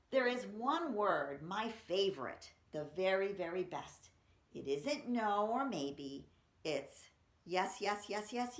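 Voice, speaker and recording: Slow-paced woman reading poems in an echoey room. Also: medium pitch. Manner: simple, explanatory, expressive when reading aloud. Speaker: library story-time reader. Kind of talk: poetry reading for children, chatting between poems. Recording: roomy, echoey room sound.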